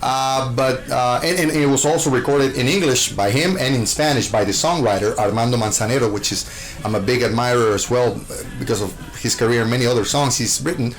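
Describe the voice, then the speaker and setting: A man's voice: medium pitch, a little fast, low-quality recording. A man from Costa Rica, a show host, answering interview questions with gushing enthusiasm, full of fillers and restarts.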